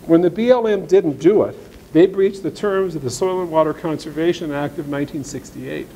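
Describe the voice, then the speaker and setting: A man's voice: on the high side, brisk, warm tone, slight background noise, poor audio. A man giving a blunt, sarcastic talk at a farm meeting, reading passages of law aloud and commenting on them. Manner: reads legal text aloud, then comments. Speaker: speaker at an agricultural seminar. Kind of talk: folksy presentation to ranchers.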